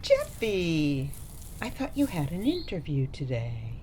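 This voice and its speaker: A woman's voice: low-pitched, wildly swooping pitch, takes it slowly, warm tone, a little hiss or hum, heavily acted. A woman playing a sweet, doting grandmother in a radio play, gushing and cutesy in manner. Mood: cheerful, doting.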